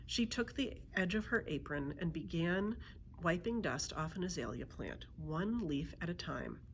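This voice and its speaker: A woman narrating a children's book aloud as a storyteller, in an expressive read-aloud style. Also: fairly low pitch, a little slow, a little hiss or hum. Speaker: librarian storyteller.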